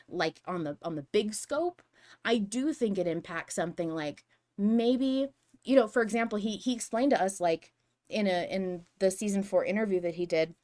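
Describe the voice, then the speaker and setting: A woman hosting a podcast, talking through fan analysis casually in an earnest, emphatic, argumentative manner. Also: medium pitch. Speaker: podcast host.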